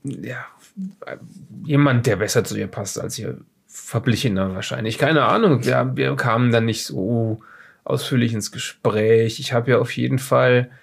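A man acts out an evasive male researcher in an improvised radio play. His mid-pitched voice has a gravelly fry, and he rambles haltingly, often backtracking as he explains himself. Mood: hesitant, explaining. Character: evasive, rambling male researcher being questioned after strange events. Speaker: player in an audio-drama let's play of a solo horror adventure.

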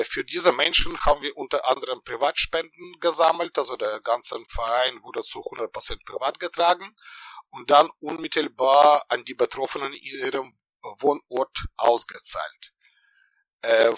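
A middle-aged man from Ukraine, a historian introducing himself formally with many hesitant pauses. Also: mid-range voice, sharp tone, no room sound, low-quality recording. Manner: frequent hesitations, measured and formal. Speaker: historian guest. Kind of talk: self-introduction in interview.

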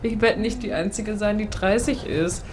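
A woman's voice: medium pitch, moderate pace, background noise. A woman in her late twenties co-hosting a casual podcast, talking in a chatty, reflective way and giggling often. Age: late 20s. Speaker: podcast co-host. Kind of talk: casual chat.

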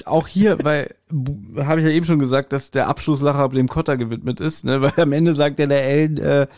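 A man's voice: fairly high pitch, steady tone, brisk, a little mellow. A man chatting casually as a podcast host, retelling stories informally. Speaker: podcast host reviewing audio dramas. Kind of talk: casual chat.

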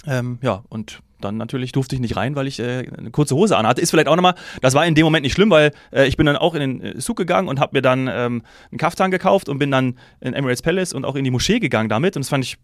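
A man's voice: medium pitch, fast, hardly any pauses, no room sound. Dry-sounding male podcast host from Hesse. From Hesse, Germany. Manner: lively storyteller, acts out his own inner voice. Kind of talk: casual podcast chat.

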